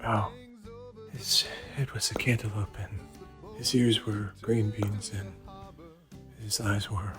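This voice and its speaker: A man playing an exhausted middle-aged father, drowsily improvising a bedtime story in halting phrases. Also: on the low side, level tone. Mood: drowsy. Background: guitar music.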